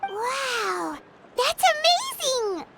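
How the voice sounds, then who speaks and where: A woman playing a small, childlike fairy companion in a video game, squeaky and exclamatory, with game noise behind her. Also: big pitch swings, drawn-out words, vocal fry, loud music behind the voice, full character voice. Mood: curious, amazed. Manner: squeaky, exclamatory, speaks of herself in third person. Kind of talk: video game character acting.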